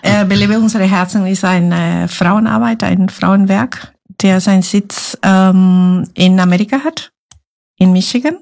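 A woman's voice: medium pitch, fairly even intonation, a little slow, gravelly, a little mellow. Woman from Guatemala. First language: Spanish. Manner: earnest, searching for words with many fillers. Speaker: ministry leader. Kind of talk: casual interview talk.

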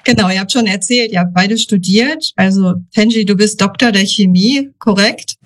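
A woman's voice: medium pitch, moderate pace. A woman hosting a podcast, asking her guests friendly, upbeat questions. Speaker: podcast host. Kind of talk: interviewing guests.

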